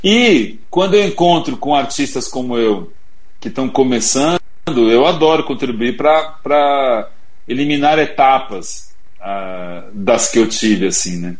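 A man's voice: medium pitch, animated, measured pace. A middle-aged Brazilian man, a singer-songwriter guest, telling his story reflectively in a casual livestream interview.

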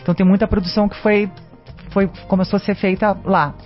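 An actress being interviewed as a guest, talking in a chatty, animated way with lots of fillers. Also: female voice, mid-range voice, fast. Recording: dull lo-fi radio sound with faint music.

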